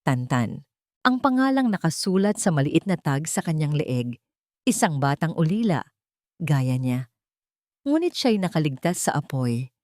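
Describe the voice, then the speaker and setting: A woman's voice: low voice, sing-song, a little slow, pauses often, a little mellow, no echo, studio quality. A woman narrating a dramatic romance story in an emotive storytelling manner.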